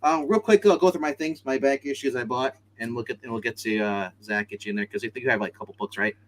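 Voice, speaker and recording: A man's voice: medium pitch, fast, a little hiss or hum. A man hosting a casual comic book chat show, talking in a chatty, slangy way. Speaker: host of a comics review show.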